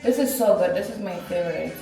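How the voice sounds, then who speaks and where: A woman's voice: fairly low pitch, quick pace, vocal fry, dark tone, soft background music, rough recording. A young woman from Kenya chatting casually in a travel vlog, joking and laughing often, filmed on a phone. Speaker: vlogger. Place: beach outdoors. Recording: outdoor noise behind.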